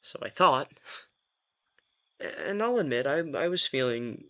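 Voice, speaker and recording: A man's voice: mid-range voice, expressive, takes it slowly, bright, forceful tone, lo-fi sound. A man acting a reluctant vampire in an audio drama, a sardonic, put-upon office worker who tells things in a wry, hesitant, self-deprecating way. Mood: animated storytelling.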